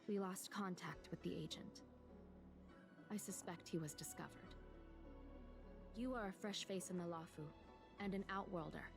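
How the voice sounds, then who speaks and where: An actress playing a composed official in a video game, giving a measured, formal briefing. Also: female voice, on the low side, lightly acted. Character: composed female official briefing an outworlder agent. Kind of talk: scripted video game dialogue. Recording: game music behind the voice.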